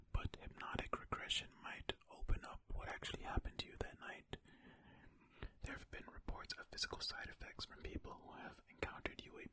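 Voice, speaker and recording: An ASMR host whispers throughout in a breathy, voiceless tone with a very bright, sibilant edge. The delivery is casual and musing, moving between reading comments aloud and chatty asides to the listener.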